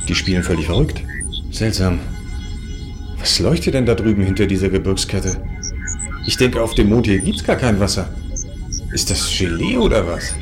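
A man playing a brooding sect leader in a science fiction audio drama, speaking with dramatic, measured delivery. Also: fairly low pitch, sing-song, over music. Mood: irritated, puzzled. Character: brooding male sect leader in space, recounting his downfall.

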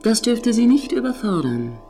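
A woman's voice: a little high, big pitch swings, moderate pace, dark tone, soft background music. A woman playing a female artificial intelligence of a space station in a science fiction audio drama, formal, matter-of-fact and dryly mocking. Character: cool, precise female AI of a space station, subtly jealous.

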